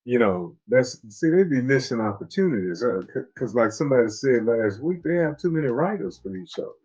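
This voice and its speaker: A man's voice: mid-range voice, expressive, brisk, darkish tone, husky, muffled recording. A man co-hosting a casual chat about a TV drama, laid-back, often restarting his sentences. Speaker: co-host of a TV discussion show. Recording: faint music behind.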